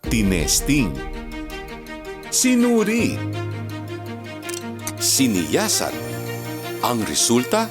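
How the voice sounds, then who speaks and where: Slow, very animated male ad announcer. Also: medium pitch. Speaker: commercial announcer. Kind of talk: punchy dramatic ad read. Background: music.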